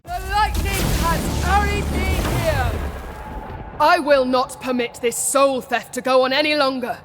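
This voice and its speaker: An actress playing a righteous, defiant warrior commander in a video game cutscene, solemn and declamatory. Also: female voice, sits high, fairly even intonation, measured pace, big-room sound, faint music behind.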